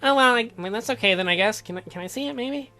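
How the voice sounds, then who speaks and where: A woman's voice: fairly high pitch, fast, pushed and bright, soft background music. A woman voicing a nervous, eager young man in a visual novel, hesitant, groggy and stammering. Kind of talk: visual novel read aloud in character.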